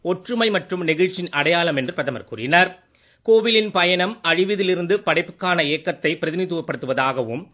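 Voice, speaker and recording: Higher-pitched male Tamil radio newsreader, dull sound. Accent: Indian Tamil. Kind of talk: formal scripted radio news bulletin.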